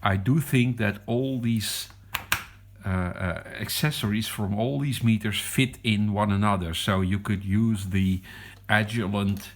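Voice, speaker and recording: A Dutch man, a non-native English speaker, demonstrating a meter at his desk in a hesitant, halting way. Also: low voice. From Netherlands. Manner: hesitant, halting, with many ums and restarts. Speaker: hobbyist reviewer. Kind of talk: casual product demonstration. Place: desk at home.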